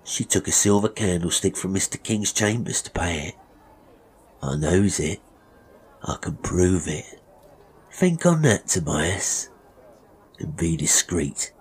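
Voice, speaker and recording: A man's voice: deep voice, animated, takes it slowly, long pauses, breathy edge, full character voice. A man voicing a menacing, mocking murderous barber in a Victorian melodrama story. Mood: threatening. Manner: mocking, threatening, chuckling, oily when polite. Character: menacing, sneering murderous male barber, gloating villain.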